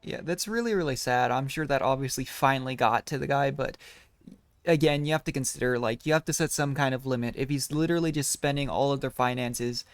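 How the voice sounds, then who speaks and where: A man's voice: medium pitch, a little fast, no room sound. Dry, musing man commenting on a game. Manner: musing, hesitant, sympathetic. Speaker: streamer reacting to a game. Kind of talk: casual let's play commentary.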